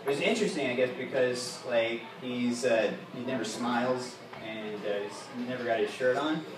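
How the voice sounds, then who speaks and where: A man co-hosting a live comedy show, reading a mock news report in a halting, dry way full of "uh"s. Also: medium pitch, noisy background. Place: comedy bar stage with live audience. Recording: echoey live room from far off, loud crowd.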